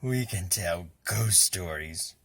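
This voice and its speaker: A man's voice: sits low, lively intonation, takes it slowly, creaky, fairly bright tone, no echo, pitched below the performer's own voice, full character voice. A man voicing a boisterous young boy who eagerly plans spooky fun in a children's storybook. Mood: spooky, hushed. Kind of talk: children's picture-book reading.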